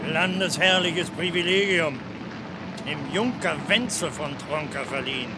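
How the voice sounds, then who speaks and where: Actor as high-voiced grumbling old toll keeper. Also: male voice, measured pace, lightly acted. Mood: gruff. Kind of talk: German radio play acting. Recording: loud storm scene noise.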